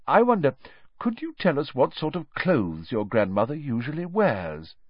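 A man voicing a cheerful, thoughtful teacher of magic in an audiobook, with a deliberate, encouraging manner. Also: on the high side, very animated, dry recording, dull audio, voice pushed up. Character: cheerful, reassuring, thoughtful male schoolteacher of magic. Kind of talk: audiobook character reading.

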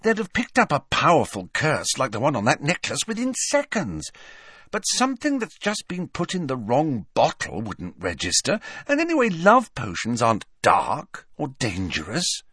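A man voicing a bookish, bossy teenage schoolgirl in an audiobook, brisk, scornful and exasperated. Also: mid-range voice, huge pitch swings, fairly bright tone, breathy edge, no room sound, studio quality, pitched above the performer's own voice, heavily acted. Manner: scornful, brisk, know-it-all.

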